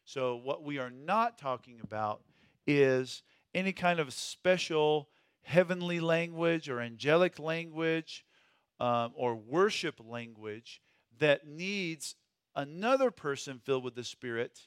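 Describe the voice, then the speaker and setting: A man's voice: fairly high pitch, expressive, slow pace, a bit bright, smooth, no echo, studio quality. A man teaching a Bible study in a conversational way, stressing key words and putting questions to his group.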